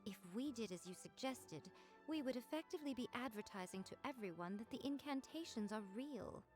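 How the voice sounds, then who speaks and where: A woman's voice: fairly high pitch, expressive, brisk, darkish tone, lightly acted. A woman voicing a poised, sly, teasing female boss in a video game, composed and articulate. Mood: measured. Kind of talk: video game character acting. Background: game music.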